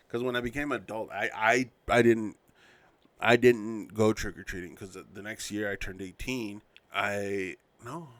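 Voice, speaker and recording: Brief: thirties man, podcast host and dad, lowish voice, loose rambling delivery that keeps restarting sentences. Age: 30s. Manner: rambling, self-correcting, loose storytelling. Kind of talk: casual solo podcast reminiscing.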